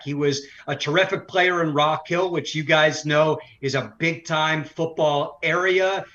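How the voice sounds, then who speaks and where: A man's voice: fairly high pitch, sharp tone. A man giving conversational sports analysis as an interview guest, a broadcaster who makes his points emphatically in runs. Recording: slightly dull, lo-fi audio.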